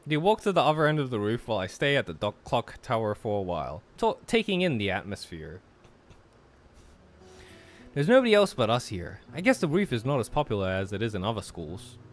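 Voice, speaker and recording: A man's voice: medium pitch, sing-song, a little fast. A man hosting a play-along, reading game narration aloud with casual banter and stumbling over some words. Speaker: host of group play-along.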